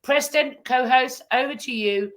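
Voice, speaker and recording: A woman's voice: sits high, unhurried. A woman from the UK hosting a video call, chatty and blunt, with hay fever. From United Kingdom. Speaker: host. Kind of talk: casual video call chat.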